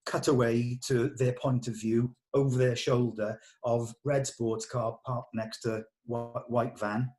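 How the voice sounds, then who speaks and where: A man's voice: medium pitch, little pitch movement, a little slow, few pauses, darkish tone. A man with a middling voice works through a script in an informal discussion. He thinks aloud and gives short, direct instructions about what to change. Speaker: script reader giving notes at a table read.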